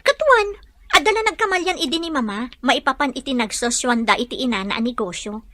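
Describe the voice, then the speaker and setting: A woman acting a bashful, warm-hearted young hospital worker in an Ilocano radio-style drama, earnest and expressive, with an Ilocano Filipino accent. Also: sits high, a little fast, dry recording. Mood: protesting.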